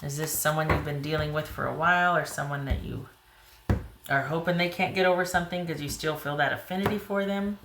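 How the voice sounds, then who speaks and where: A woman reading tarot cards to camera in a casual way, a little hoarse, thinking aloud as she pulls the cards. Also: low-pitched, steady tone, fairly quick, reverberant, background noise. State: slightly hoarse. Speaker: tarot reader.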